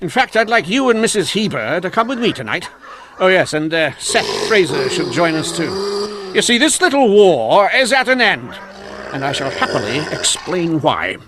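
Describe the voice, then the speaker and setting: An actor playing a shrewd, composed Victorian-era police inspector in a radio mystery, speaking in a measured, explanatory way. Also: male voice, sits high, big pitch swings, heavy background noise. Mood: assured, accusing. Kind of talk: old-time radio mystery drama acting.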